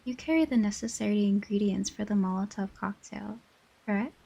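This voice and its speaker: A woman acting haughty otherworldly female guardian, dark husky tone, formal, imperious, audio drama. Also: medium pitch, a little fast. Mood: grave, commanding. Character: stern, cryptic inhuman guardian woman, coolly haughty. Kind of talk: scripted dramatic monologue.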